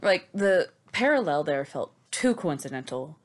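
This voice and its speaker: A woman's voice: low voice, big pitch swings, unhurried. A woman co-hosting a book discussion, casual and sweary, with a sarcastic, emphatic delivery. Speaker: podcast co-host giving recaps. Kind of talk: sarcastic, scripted-sounding book recap and discussion.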